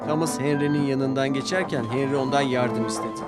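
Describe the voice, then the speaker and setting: A man narrating a children's story in an expressive, scripted storytelling style. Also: medium pitch, brisk, over loud music.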